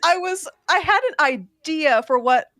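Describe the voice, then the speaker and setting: A woman's voice: high voice, lively intonation, measured pace, bright, forceful tone, dry recording, studio quality. A woman sound designer chatting casually on a panel in a gleeful, effusive way.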